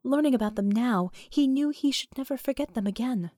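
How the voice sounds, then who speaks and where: Higher-pitched woman narrating fiction, dry sound. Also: fairly quick, mellow, no echo. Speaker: audiobook narrator.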